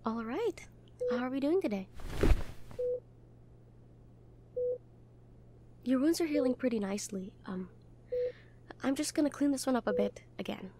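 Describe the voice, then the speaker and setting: A woman playing a caring, protective young hospital nurse in an intimate audio roleplay, speaking gently, conversationally and a little hesitantly. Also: high-pitched, lively intonation, brisk, slight background noise. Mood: tender, soothing.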